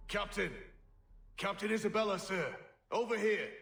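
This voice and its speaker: Casting: a cursed stone pirate deckhand for a game, excitable, rustic, sharp-toned, heard distantly in an echoing space. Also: male voice, sits high, moderate pace, vocal fry. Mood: eager, calling out. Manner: rustic sailor talk, excitable. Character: male pirate crewman turned to stone, pleading, excitable. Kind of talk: video game voice acting. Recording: roomy echo, distant from the mic.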